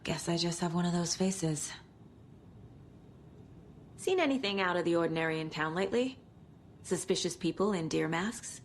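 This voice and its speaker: A woman's voice: lowish voice, a little hiss or hum, lightly acted. An actress playing a composed, businesslike female federal investigator in a naturalistic video game style. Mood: probing. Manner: businesslike, measured, matter-of-fact.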